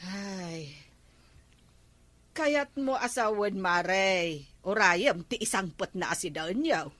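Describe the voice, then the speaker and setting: Actress as suspicious, questioning wife, Ilocano accent, piercing bright tone, very dry sound, comedy drama. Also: female voice, medium pitch, expressive, takes it slowly, dry recording. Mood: grumbling. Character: inquisitive, suspicious village wife. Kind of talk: comedy radio-style drama acting.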